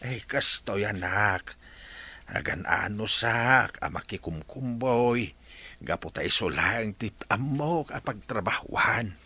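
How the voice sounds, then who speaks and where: Slow, swooping, remorseful aging father acted by a man. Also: lowish voice, expressive, pushed and bright, husky, heavily acted. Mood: sad, humble. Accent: Ilocano. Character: humble, remorseful aging father, poor and emotional. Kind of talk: dramatized Ilocano radio-style story acting.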